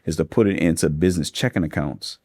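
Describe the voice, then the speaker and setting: A man in his thirties, a funding expert talking casually in a podcast interview, slangy and relaxed. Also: low voice, fast talker, no echo, clean studio sound. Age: 30s. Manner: laid-back slangy talk, calls listeners bro.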